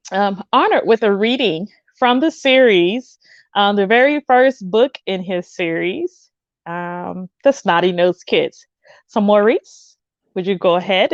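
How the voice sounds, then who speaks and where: A woman's voice: medium pitch, no echo. A woman hosting an online read-aloud event, making announcements with frequent ums. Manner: welcoming announcements with filler ums. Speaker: host. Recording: dull lo-fi sound.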